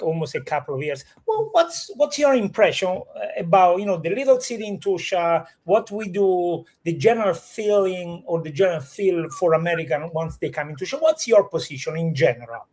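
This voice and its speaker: A man with an Italian accent hosting a chatty live show in English as a second language, often pausing to search for words. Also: sits high, animated, a little mellow. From Italy. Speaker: host of a real estate livestream.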